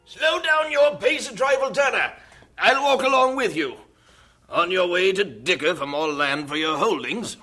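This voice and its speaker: A bright-voiced, businesslike mission superintendent. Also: male voice, high voice, lively intonation, moderate pace, soft background music. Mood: brisk, worried. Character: J O Fraser, worried, businesslike male mission superintendent in China. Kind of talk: old radio drama acting.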